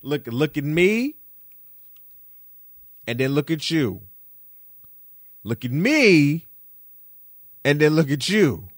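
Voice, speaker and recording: A podcast host from Detroit doing solo commentary, roasting people in a slangy, playful way with lots of repetition. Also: male voice, fairly high pitch, big pitch swings, slow pace, long pauses, dry recording, clean studio sound.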